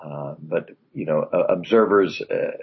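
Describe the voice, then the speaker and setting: Low husky man talking politics. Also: a little slow, dull audio. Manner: rambling, many fillers and asides. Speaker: former congressman, political commentator. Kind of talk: political talk radio chat.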